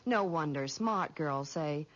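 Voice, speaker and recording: A woman's voice: sits low, expressive, slow pace, a bit bright. A woman presenting a scripted, conversational 1940s radio commercial. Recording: muffled old radio recording.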